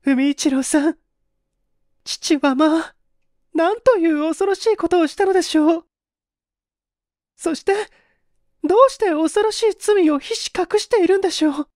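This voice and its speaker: A man's voice: extremely high pitch, moderate pace, pauses often, a little mellow, no echo, clean studio sound, voice pushed up. A man voicing an earnest, anguished young woman who speaks politely and pleadingly in an audiobook drama.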